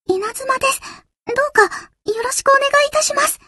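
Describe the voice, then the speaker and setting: A timid little anime game girl with an extremely high voice. She sounds gentle, earnest and childishly polite, recorded dry and close. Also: brisk, dry recording. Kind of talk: Japanese anime game character lines.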